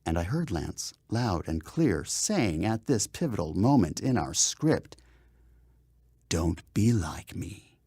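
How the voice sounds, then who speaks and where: A man's voice: deep voice, a little mellow, breathy edge, dry recording. A man reading his own memoir aloud as a dramatic first-person narration, with theatrical, emphatic phrasing, close on a studio microphone. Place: voiceover studio.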